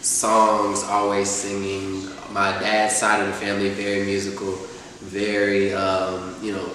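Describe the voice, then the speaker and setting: Young man, from Atlanta, laid-back talk, fairly low voice, far from the mic, echoey room. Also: completely flat delivery, measured pace, fairly bright tone. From Atlanta, USA. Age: 20s. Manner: laid-back, laughs often, many "you know" fillers. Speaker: rapper, interview guest. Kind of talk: casual interview chat. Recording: echoey distant room sound with faint noise.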